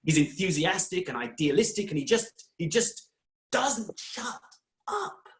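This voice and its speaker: A middle-aged man playing a weary, exasperated doctor in a dramatic reading, with halting, stammering delivery. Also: high voice, big pitch swings. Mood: exasperated, despairing. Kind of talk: dramatic fan fiction reading.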